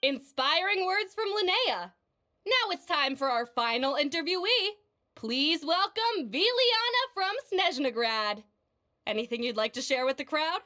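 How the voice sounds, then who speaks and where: A woman's voice: high voice, sing-song, a little slow, bright, forceful tone, full character voice. A woman playing an upbeat, showy tournament announcer in a video game, hosting with polished, formal delivery. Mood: warm, presenting. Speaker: event announcer. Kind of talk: scripted game dialogue, ceremony emceeing.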